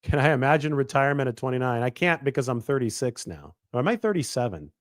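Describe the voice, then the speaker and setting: A man in his thirties talking through a football analysis on his own show, quick and analytical, often restarting and correcting himself mid-sentence. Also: medium pitch, big pitch swings, no echo, studio quality. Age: 30s. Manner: quick analytical delivery with frequent self-corrections. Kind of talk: sports analysis monologue.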